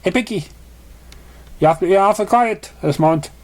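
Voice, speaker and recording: A man's voice: high voice, a little fast, pitched above the performer's own voice, lightly acted. A man voicing a friendly, worried female unicorn pony in a story reading, chatty and full of questions. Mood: concerned, questioning. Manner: chatty, questioning, slightly rambling.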